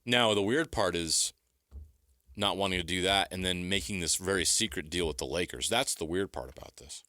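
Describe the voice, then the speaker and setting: A man's voice: sits low, moderate pace, bright, forceful tone, dry recording, studio quality. A man with an American accent co-hosting a sports radio show, plain-spoken and conversational. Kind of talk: casual sports talk discussion.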